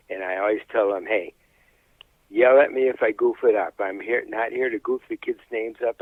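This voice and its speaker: A man's voice: on the low side, no echo. An older man talking casually in an interview, in a plain-spoken, folksy, hesitant way, on slightly dull audio. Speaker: high school sports announcer being interviewed.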